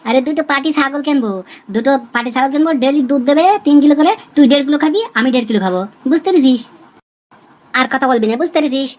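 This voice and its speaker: Man playing scheming cartoon husband, very quick, high-pitched, brash, bossy. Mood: bossy, irritated. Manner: brash, colloquial. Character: scheming young husband, bossy, foul-mouthed village schemer. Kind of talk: amateur comedy cartoon dubbing.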